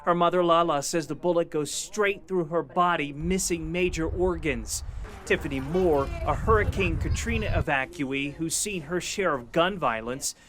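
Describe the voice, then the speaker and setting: A man reporting a news story in a deliberate, scripted voice-over. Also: fairly high pitch, fairly bright tone.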